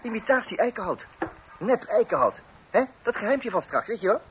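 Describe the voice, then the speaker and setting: Stubborn self-satisfied husband, very lively, old radio play, lots of noise. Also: male voice, high voice, a little fast. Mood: proud explaining. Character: stubborn, overconfident do-it-yourself husband and father. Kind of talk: scripted radio comedy acting.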